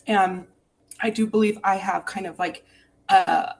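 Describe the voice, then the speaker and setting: A woman's voice: medium pitch, fairly even intonation, unhurried, gravelly. A woman from Utah telling a personal ghost story in an earnest, candid, reflective way.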